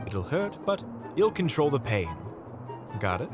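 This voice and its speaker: A man's voice: mid-range voice, very animated, unhurried, music in the background. A man acting as a wry, reassuring monster hunter who teases and explains things in an audio roleplay. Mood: calm, instructing.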